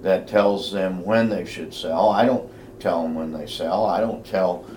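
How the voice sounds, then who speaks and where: A man with a loud voice speaking bluntly and emphatically as an interview guest, repeating himself for emphasis. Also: low voice, unhurried, slight background noise. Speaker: guest, investment newsletter writer. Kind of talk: conversational interview about investing.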